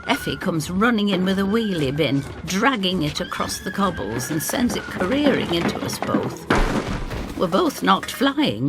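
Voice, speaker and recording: A woman narrates a scripted story with theatrical expression. Her voice sits slightly low and swings through a lively range at a measured pace. Music plays softly behind her. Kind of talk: dramatic scripted first-person story narration.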